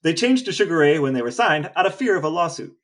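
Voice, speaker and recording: A man's voice: a little high, sing-song, fast, fairly bright tone. A man co-hosting a podcast, chatting casually in a wry, teasing way. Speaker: podcast co-host. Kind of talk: casual chat.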